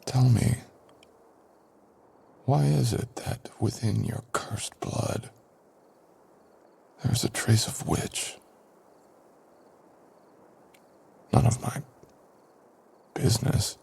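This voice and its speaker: A man playing a dangerous, vengeful ancient sorcerer in an audio roleplay, speaking in a husky, breathy voice with dramatic, threatening pauses. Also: mid-range voice, a little mellow, heavily acted. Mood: menacing, suspicious. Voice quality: husky, breathy, often dropping to a whisper. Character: dangerous ancient male sorcerer, menacing, vengeful, freed from long imprisonment. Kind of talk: boyfriend ASMR audio roleplay.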